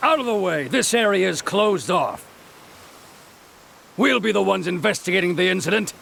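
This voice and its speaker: A man's voice: high voice, animated, moderate pace, gravelly, a bit bright, slight background noise, full character voice. An actor playing a brusque, officious male investigator in a video game, curt and commanding. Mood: stern, commanding.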